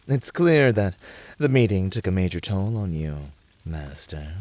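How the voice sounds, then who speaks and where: A low-voiced, playful incubus acted by a man who teases and flirts in an ASMR roleplay. He draws his words out slowly and theatrically, swooping up and down with lively emphasis. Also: dry recording. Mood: doting. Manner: theatrical, teasing, drawn-out delivery with swooping emphasis. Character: flirty, fiery male incubus, playful teasing servant-rival.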